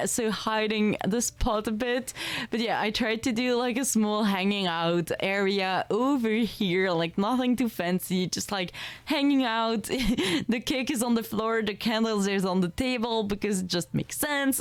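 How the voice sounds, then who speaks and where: A woman's voice: mid-range voice, no echo. Casual, chatty woman giving a game tour. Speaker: gaming content creator giving a tour.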